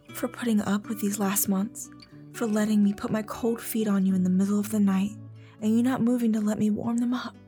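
A woman playing a loving young wife and new mother in an audio drama, soft, breathy and tender. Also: a little high, lightly acted.

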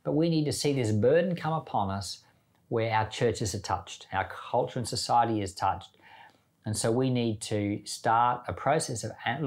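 A man's voice: medium pitch, a little mellow. A man speaking as a pastor on a Bible podcast, explaining scripture in an earnest, informal, conversational way. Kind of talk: conversational Bible teaching with commentary.

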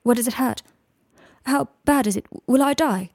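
A woman voicing a frightened, shaken young man who stammers and talks fast. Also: fairly high pitch, warm tone, breathy edge, no room sound, studio quality.

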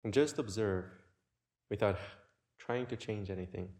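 Slow, low-voiced man guiding meditation. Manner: gentle, unhurried, with long pauses. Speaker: meditation teacher. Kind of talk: guided meditation.

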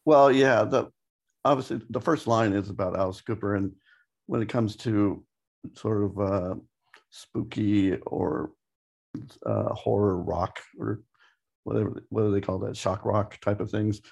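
A man with a husky, gravelly voice talks casually as a podcast co-host. He is hesitant, piecing thoughts together aloud in fragments. His pitch sits a little low. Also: steady tone, a little slow, a little mellow. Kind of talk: casual interview chat.

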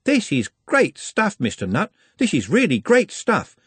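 A man's voice: sits high, wildly swooping pitch, unhurried, a bit bright, slightly rough, no room sound, voice pushed up, exaggerated voice. A man voicing an eager, plain-spoken young working man, in an audiobook reading. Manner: plain, easily impressed.

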